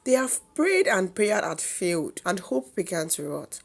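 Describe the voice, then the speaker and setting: A woman with a Nigerian accent narrates a folktale as a storyteller, in a theatrical, solemn manner with deliberate phrasing. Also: medium pitch, very animated, gravelly.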